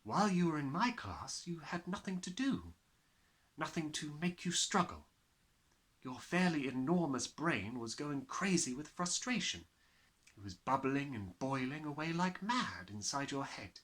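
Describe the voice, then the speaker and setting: A man voicing a kind, thoughtful woman schoolteacher who explains things gently in a storybook. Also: fairly high pitch, big pitch swings, a little slow, pitched above the performer's own voice. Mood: thoughtful explaining.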